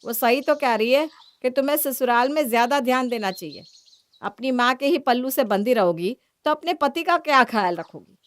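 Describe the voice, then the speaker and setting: A woman's voice: high voice, lively intonation, normal speed, no room sound. A woman playing a disapproving, reproachful mother-in-law who protects her daughter in a cartoon family story. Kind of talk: Hindi cartoon story acting.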